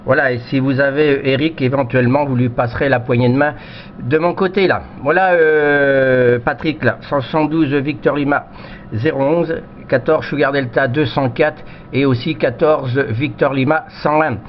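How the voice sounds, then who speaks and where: Man, amateur radio operator, halting repetitive chat, dull lo-fi radio sound, faint noise. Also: medium pitch, steady tone. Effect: radio transmission. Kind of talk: casual radio contact chat. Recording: dull, noisy radio audio.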